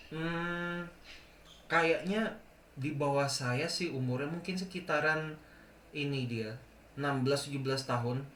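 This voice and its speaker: A man's voice: fairly high pitch, unhurried, long pauses, fairly bright tone, slight background noise. A young man telling a ghost encounter in casual speech, hesitant and often correcting himself. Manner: hesitant, many self-corrections. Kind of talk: casual storytelling. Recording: roomy echo.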